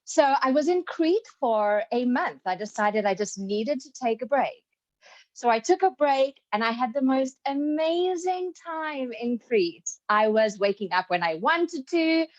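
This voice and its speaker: A woman's voice: high-pitched, measured pace, dry recording, highs cut off. Woman with a mixed South African accent. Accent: mixed South African and British. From Zimbabwe, raised in South Africa. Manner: storytelling, animated, laughing asides. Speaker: speaker. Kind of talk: motivational talk.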